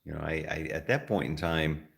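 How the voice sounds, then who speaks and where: Very deep-voiced rambling American vlogger. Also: male voice, creaky, a bit bright. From United States. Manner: rambling, halting, many fillers and restarts. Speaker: travel vlogger. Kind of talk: casual vlog talk.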